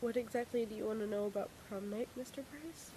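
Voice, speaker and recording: A woman's voice: on the high side, quick pace, a little hiss or hum, lightly acted. A young woman playing a fragile but wry female psychiatric patient recalling a painful night. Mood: hesitant. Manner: quiet, hesitant, wry.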